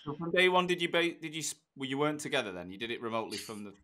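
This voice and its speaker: A man's voice: a little high, sing-song, racing, bright, forceful tone. A man hosting a podcast, asking the guests conversational, encouraging questions. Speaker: podcast host. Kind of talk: interviewer prompting guests.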